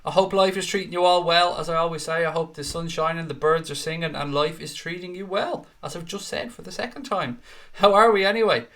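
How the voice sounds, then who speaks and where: A man's voice: fairly high pitch, a little fast, fairly bright tone. A young Irish man hosting a casual live stream, chatting with viewers in an upbeat, talkative way with the odd swear. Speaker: host.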